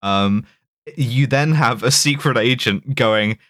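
A man's voice: mid-range voice, takes it slowly, fairly bright tone, no echo, studio quality. A man co-hosting a podcast, casually recapping a film with jokey, digressive commentary. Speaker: podcast co-host.

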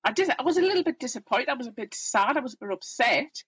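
A woman's voice: sits high, expressive, quick pace, fairly bright tone, husky. A woman in her fifties with a Geordie accent, telling her own story in a candid, plain-spoken, self-deprecating way as an interview guest.

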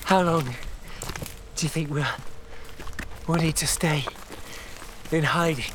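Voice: medium pitch, slow, natural voice. An actor playing a winded wartime railway saboteur on the run in a naturalistic audio drama. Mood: weary. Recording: noisy outdoor scene sounds. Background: outdoor nature noise.

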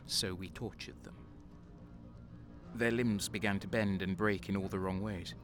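A slow, brooding renegade guardsman. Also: deep voice, steady tone. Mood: bitter. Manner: slow, brooding first-person narration. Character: bitter, violent male renegade guardsman. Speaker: narrator and lead. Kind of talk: audio drama narration. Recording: music underneath.

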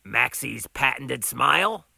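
A stubborn, grumpy diner boss in an audiobook, acted by a man. He grouses dryly and defensively, with lively swings of pitch in a close, dry recording. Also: slow pace, gravelly, no echo, heavily acted. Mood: dry, evasive.

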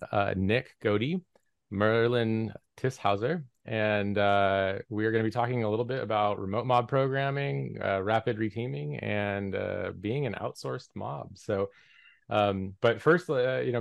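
A man hosting a casual panel chat, talking in a relaxed way with many filler words. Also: on the low side, creaky, no echo. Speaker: podcast host.

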